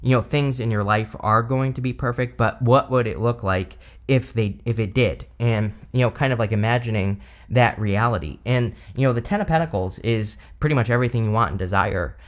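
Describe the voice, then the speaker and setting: A man giving a relaxed, rambling tarot reading with lots of "you know" and "uh", his voice a bit hoarse from allergies. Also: mid-range voice, a little fast, hardly any pauses. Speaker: tarot reader. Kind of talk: casual tarot reading to camera.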